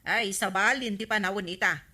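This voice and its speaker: A bright, cutting female voice as an exasperated village councilor minding a shop. The character scolds and grumbles with a short temper, in the broad manner of a comedy radio drama. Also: mid-range voice, a little fast, slightly rough. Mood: scolding. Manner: scolding, complaining.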